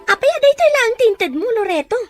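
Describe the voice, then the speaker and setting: A woman's voice: extremely high pitch, very animated, brisk, faint music behind. A woman playing an emotional, anxious wife in an audio drama, speaking in a nagging, confrontational way. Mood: upset, demanding. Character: jealous, anxious wife pleading over household money. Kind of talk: amateur audio drama dialogue.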